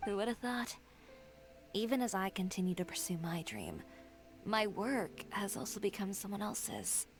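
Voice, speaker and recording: A woman's voice: medium pitch, very animated, moderate pace, gravelly, faint music behind. An actress playing an assertive, poised young female fashion designer in a video game, telling things in a self-assured way. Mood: reflective.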